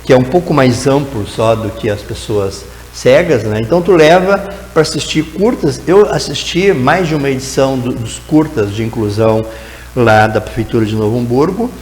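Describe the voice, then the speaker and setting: A man's voice: medium pitch, expressive, normal speed, darkish tone, roomy sound, in a large hall, slight background noise, low-quality recording. A man, a guest educator answering in a TV interview, explaining at length and tagging his sentences with "né?". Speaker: guest educator in teacher training.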